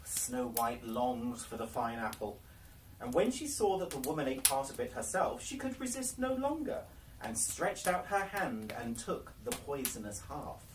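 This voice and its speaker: A man reading a fairy tale aloud as a storyteller, with theatrical, deliberate phrasing. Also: mid-range voice, very animated. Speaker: library storyteller. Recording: noisy, distant microphone, clicks.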